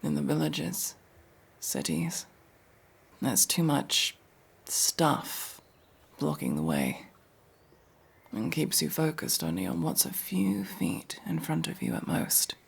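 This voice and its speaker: A man playing a guarded, world-weary elf mercenary, centuries old but young-looking, in an intimate, brooding ASMR fantasy roleplay with a breathy, gravelly, halting delivery. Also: fairly high pitch, huge pitch swings, full character voice. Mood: brooding, wistful. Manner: brooding, halting, often dropping to a whisper.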